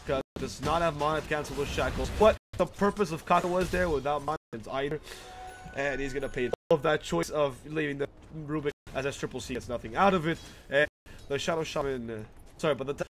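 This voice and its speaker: A man commentating a video game match on his own from a home setup into a desk mic, talking fast and restarting phrases. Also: a little high, a bit bright, soft background music. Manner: rapid, self-correcting, restarts mid-phrase. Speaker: esports commentator. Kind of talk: solo play-by-play game commentary. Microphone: desk microphone.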